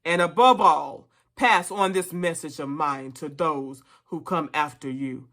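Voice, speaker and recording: Someone reading as an old boar rebel leader, storybook speech, really slow n solemn, big rousing pauses. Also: mid-range voice, a bit bright, lightly acted. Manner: deliberate, oratorical, emphatic pauses. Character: elderly boar orator, solemn, rousing, revolutionary.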